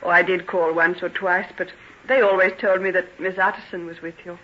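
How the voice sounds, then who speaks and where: An actor playing an earnest, wistful colleague who is hopelessly in love, in an old-time radio drama, self-deprecating in manner. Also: male voice, high-pitched, level tone, a little fast. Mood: wistful, hurt. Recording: dull vintage radio recording.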